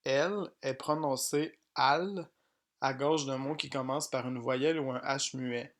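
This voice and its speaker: A man teaching pronunciation, reading each example sentence slowly and plainly, often twice. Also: mid-range voice. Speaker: French language teacher. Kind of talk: slow pronunciation drill.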